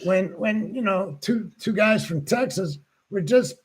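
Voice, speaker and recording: Older male host, 60s, husky and slightly high voice, rambling halting storyteller. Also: animated. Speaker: show host. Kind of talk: casual chat.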